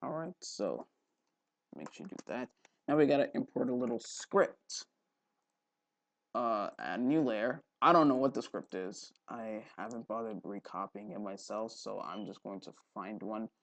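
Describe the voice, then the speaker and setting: A man hosting a casual software tutorial, thinking aloud informally with mild exclamations. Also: fairly low pitch, animated, a little slow, vocal fry, no echo. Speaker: tutorial host.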